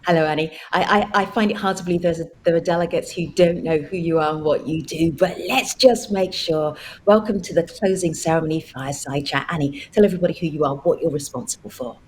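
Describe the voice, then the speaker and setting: A woman's voice: sits low, fast talker, few pauses, a little hiss or hum. A woman hosting a virtual conference, addressing the audience directly and repeating words for emphasis. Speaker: event host, moderator. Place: virtual event link.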